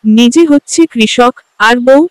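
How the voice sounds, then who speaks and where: A woman playing a resentful, sharp-tongued village gossip in melodramatic cartoon dialogue. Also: a little high, a little slow, clean tone, dry recording, natural voice, rough recording. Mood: resentful, scornful.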